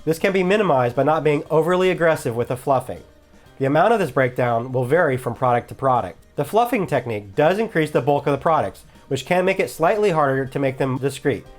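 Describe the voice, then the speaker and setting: Higher-voiced man narrating over music. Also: animated, a little fast. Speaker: host of a product review channel. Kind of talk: scripted instructional narration.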